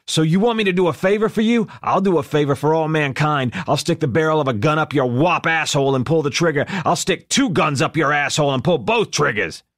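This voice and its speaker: Man doing a shouting mob boss, furious, high strained yell, dry close sound. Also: fairly quick, few pauses, dry recording, close to an everyday voice. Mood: enraged. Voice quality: shouting, explosive. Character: loud furious foul-mouthed older male mob boss.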